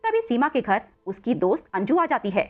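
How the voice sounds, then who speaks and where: High-pitched animated woman storyteller. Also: moderate pace, phone-quality sound. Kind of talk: scripted children's story narration.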